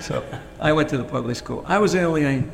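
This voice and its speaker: A gravelly-voiced man from Pennsylvania, raised speaking Pennsylvania Dutch, answers questions about his life in an oral history interview. He hesitates a lot, filling pauses and restarting sentences. The recording carries a long reverb and a faint hiss. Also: on the high side. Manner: hesitant, many fillers and restarts.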